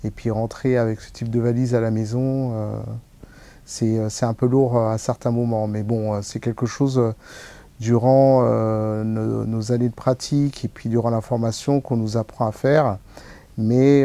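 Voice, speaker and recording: A hospital nurse answering interview questions, hesitant and full of pauses. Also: male voice, medium pitch, fairly even intonation, warm tone. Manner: hesitant, many fillers.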